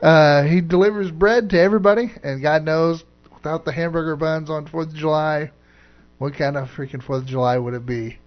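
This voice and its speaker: A man's voice: a little high, fairly even intonation, a little fast. A man co-hosting a casual internet radio talk show, laid-back and modest, laughing often. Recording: dull lo-fi audio.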